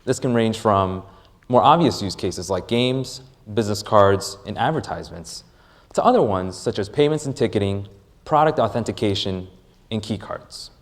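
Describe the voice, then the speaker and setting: A man presenting a rehearsed product pitch as a startup presenter, with deliberate, emphatic phrasing. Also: animated.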